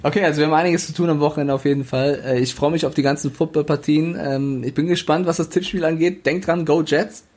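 Higher-voiced man in casual chat. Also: steady tone, fast talker, hardly any pauses, a little mellow. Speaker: podcast co-host.

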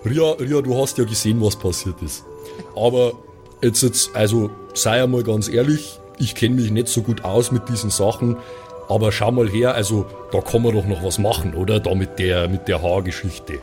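A man's voice: fairly low pitch, fairly even intonation, moderate pace, music in the background, natural voice. A man playing a firm, placating friend who urges people along colloquially in an improvised role-play. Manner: colloquial, repetitive urging. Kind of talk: improvised pen-and-paper audio play.

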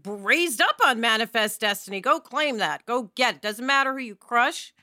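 A woman's voice: a little high, lively intonation, moderate pace, bright, forceful tone, no echo, studio quality. A woman talking casually and emphatically as a podcast panelist, sharing reflective opinions.

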